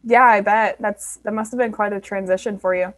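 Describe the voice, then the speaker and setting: A woman's voice: medium pitch, fairly even intonation, a little fast, no echo. A woman hosting an interview over a video call, upbeat and friendly, with short affirmations.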